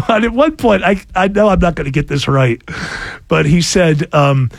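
A man's voice: a little high, normal speed, gravelly, no room sound. A man hosting a casual talk show, rambling and restarting his sentences, laughing often. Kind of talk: casual banter.

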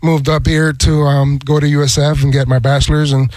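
A man's voice: a little high, flat delivery, brisk, a little mellow, no echo. A man from Puerto Rico, a restaurant owner, being interviewed on radio; polite and humble, answering "yes, sir".

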